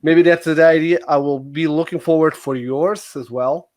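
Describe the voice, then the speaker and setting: A man's voice: on the high side, steady tone, normal speed, darkish tone. A man hosting a casual livestream conversation. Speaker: host.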